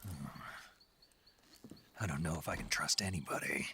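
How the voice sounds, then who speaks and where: A man's voice: a bass voice, normal speed, creaky, bright, forceful tone, slight background noise, exaggerated voice. An actor playing a wary, terse male soldier in a video game cutscene. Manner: terse, guarded.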